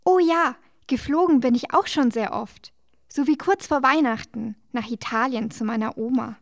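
Erklärende Moderatorin mit trockenem Klang. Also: female voice, on the high side, moderate pace, no room sound, clean studio sound. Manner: bright, explaining, gently teasing. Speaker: children's show co-host. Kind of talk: scripted children's educational dialogue.

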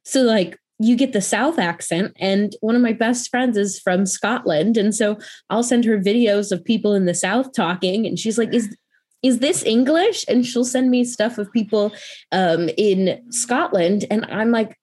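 A young woman from the Midwest with a Midwestern American accent, chatting in an upbeat, animated way. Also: medium pitch, measured pace, husky. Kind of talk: casual conversational interview.

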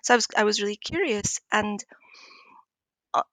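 Scottish lady from edinburgh being interviewed, thoughtful n a bit hesitant, mid pitch, really dry sound. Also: moderate pace, a bit bright, no echo. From Scotland, Edinburgh. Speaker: interview guest. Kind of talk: conversational interview.

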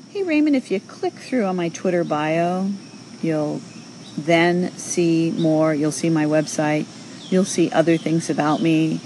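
A woman's voice: sits low, darkish tone, a little hiss or hum. A middle-aged woman hosting a casual audio show, answering callers in a chatty, encouraging way with plenty of fillers. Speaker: host.

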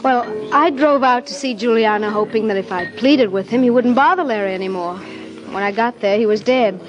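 A woman's voice: fairly high pitch, normal speed, lo-fi sound, music in the background, natural voice. An actress playing a devoted, pleading woman in love, earnest and melodramatic in 1940s radio drama style. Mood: contrite, earnest.

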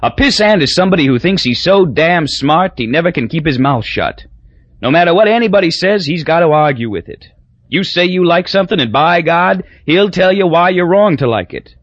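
A man voicing a loud, boozy, crude middle-aged businessman who talks in folksy bluster. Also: on the high side, lively intonation, brisk, no room sound, highs cut off, pitched above the performer's own voice.

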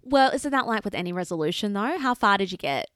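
A woman, co-hosting a podcast in casual, animated chat. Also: medium pitch, fast talker, dry recording, clean studio sound. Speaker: podcast co-host.

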